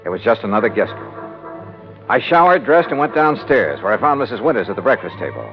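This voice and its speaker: An actor playing a hard-boiled male insurance investigator who narrates his case in brisk, wry first person, in 1950s radio drama style. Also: fairly low pitch, very animated. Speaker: narrator-detective. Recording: muffled old radio with music.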